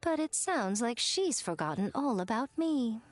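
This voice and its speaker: An elegant, sly shrine maiden from an anime game. She speaks in a fairly high, richly animated voice, theatrically arch and playfully teasing, with faint music underneath. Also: measured pace, dry recording. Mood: amused, teasing. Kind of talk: English anime-style game voice acting.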